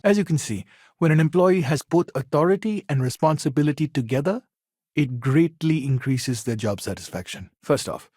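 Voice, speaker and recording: Earnest counselor dude in a video game, explains things calmly n reassuringly, slightly husky, very dry recording. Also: male voice, a little high, normal speed, no echo. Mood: explanatory. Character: earnest, patient male counselor at a secular community house. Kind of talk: video game dialogue, measured and conversational.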